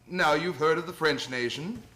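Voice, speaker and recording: A man playing a kindly, playful old Santa Claus in a radio play, gentle and coaxing, with hesitant little interjections. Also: on the high side, moderate pace.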